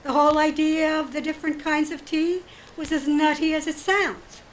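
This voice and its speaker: A woman's voice: high voice, fairly even intonation, slight background noise, natural voice, poor audio. An actress in an audio drama playing a genteel, coolly devious woman who feigns sweet innocence, speaking politely and hesitantly. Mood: apologetic, explaining. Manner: hesitant, polite, drawn-out delivery.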